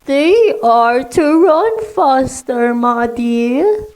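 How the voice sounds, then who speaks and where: A woman's voice: high voice, lively intonation, drawn-out words, a little mellow, heavily acted. A woman acting a wolf that pretends to be sweet and harmless in a children's radio drama. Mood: falsely tender. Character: wolf posing sweetly as others to trick prey.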